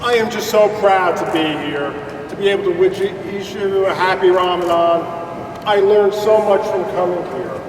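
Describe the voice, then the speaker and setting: Brief: man, high voice, booming heartfelt speech, echoey hall from a distance. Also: normal speed. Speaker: guest speaker. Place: large community hall. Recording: echoey hall from far off, noisy.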